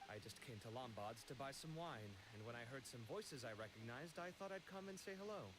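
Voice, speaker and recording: A friendly young man in video game dialogue, played by a male actor. His voice sits at a medium pitch, courteous and easygoing. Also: lively intonation, fairly quick, fairly bright tone, lightly acted, poor audio. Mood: polite, casual.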